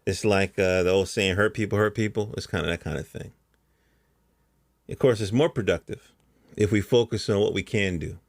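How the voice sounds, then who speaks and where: A man's voice: lowish voice, normal speed, no room sound, clean studio sound. A middle-aged American man hosting a podcast, talking casually in a rambling, colloquial monologue and often checking in with the listener. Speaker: podcast host.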